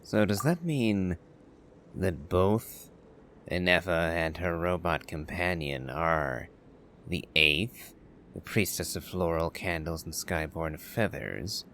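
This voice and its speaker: Low, slow man musing over a game. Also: a bit bright, a little hiss or hum. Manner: musing, thinking aloud. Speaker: game player. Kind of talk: casual commentary while playing a game.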